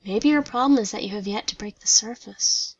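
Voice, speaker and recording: A woman playing a serene, loving goddess and devoted daughter in an audio drama, soft, breathy and comforting. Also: mid-range voice, fairly quick, dull audio, close to an everyday voice. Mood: soothing, earnest. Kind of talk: dramatic reading like a radio play.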